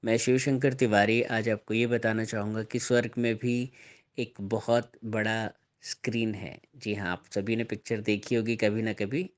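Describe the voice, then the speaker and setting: A man preaching a sermon, deliberate and emphatic, repeating and explaining phrases as he reads scripture. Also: mid-range voice.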